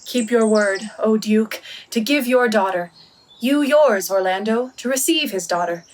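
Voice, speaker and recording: A young actress playing a quick-witted heroine in boy's disguise, with a fairly high voice. She delivers Shakespearean radio drama lines in a playful, articulate way. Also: distant mic, slight background noise, close to an everyday voice. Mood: assured, commanding. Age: young adult. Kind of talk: Shakespearean radio play acting.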